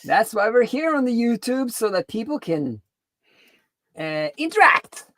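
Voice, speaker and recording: A woman's voice: on the high side, very animated, unhurried, a bit bright, dry recording. A woman co-hosting a coding livestream in casual, unscripted chat.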